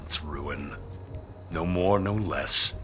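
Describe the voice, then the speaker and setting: A man's voice: very deep voice, slow, music in the background. A man playing a brooding, dutiful aristocratic heir in a period audio drama, speaking formally and earnestly. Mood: brooding, resolved.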